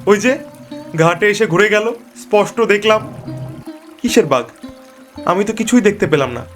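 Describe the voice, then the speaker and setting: A man's voice: high-pitched, expressive, normal speed, fairly bright tone, faint music behind. A man voicing a troubled cartoon village fisherman, plaintive and argumentative. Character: troubled village fisherman, by turns gloomy and defiant. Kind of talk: animated cartoon dialogue.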